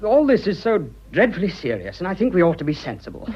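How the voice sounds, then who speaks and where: Young Englishman, lovestruck, awkward, highish husky voice, swooping intonation, clipped period radio drama, muffled recording. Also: wildly swooping pitch, darkish tone, heavily acted. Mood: agitated, lovestruck. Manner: halting, self-conscious, blurting, clipped old-fashioned speech. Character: earnest, awkward young businessman hopelessly in love.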